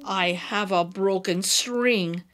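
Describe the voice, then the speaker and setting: Storybook old man, acted by a woman: kindly humble harper, unhurried, earnest, expressive pitch. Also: a little hiss or hum, exaggerated voice. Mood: sad.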